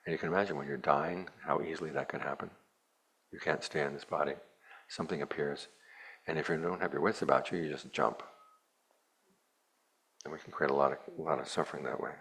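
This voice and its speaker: A man, a Buddhist monk, giving a plainspoken, measured dharma talk to a retreat group with a dry, wry touch. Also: a bass voice, steady tone, a bit bright, slightly rough.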